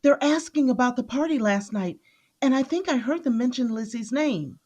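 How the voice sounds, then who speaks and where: A woman's voice: high-pitched, lively intonation, moderate pace, darkish tone. An actress playing an earnest, emotional female office worker in an audio drama. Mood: polite, worried.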